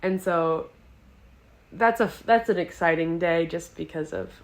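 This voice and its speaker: A young woman speaks with a soft Midwestern American accent in a slightly low register. She chats in a relaxed, easygoing way, full of fillers. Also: measured pace. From Wisconsin, United States. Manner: relaxed, chatty, many "like" fillers. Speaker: podcast guest. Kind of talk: casual chat.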